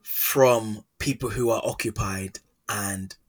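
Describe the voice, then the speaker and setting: A man's voice: on the low side, steady tone, slow, slightly rough. A man hosting a podcast interview, speaking with passion as he builds long questions. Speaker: podcast host.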